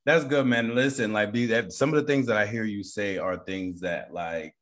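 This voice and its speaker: A man's voice: lowish voice, normal speed, fairly bright tone. An American man hosting a casual interview, informal and encouraging as he talks with his guest. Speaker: interview show host.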